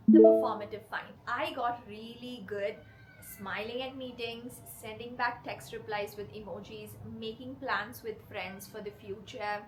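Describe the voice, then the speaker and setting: A woman with a cold that leaves her voice hoarse and rough, hosting her channel and talking earnestly and directly to her viewers. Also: a little high, a little hiss or hum, low-quality recording. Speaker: channel host. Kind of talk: confessional vlog talk to camera.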